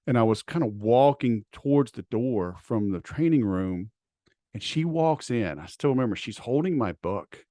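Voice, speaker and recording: Male podcast host, chatty and casual, mid pitch, dry close mic. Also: animated, normal speed, mellow, no room sound. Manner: chatty, says dude and like a lot. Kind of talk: casual interview talk.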